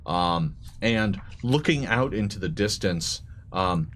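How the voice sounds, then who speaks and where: Guy game mastering, lowish voice, talks in stops and starts, noisy stream audio. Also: expressive, fairly bright tone. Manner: halting, conversational. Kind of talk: improvised tabletop game narration. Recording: live stream with clear background noise.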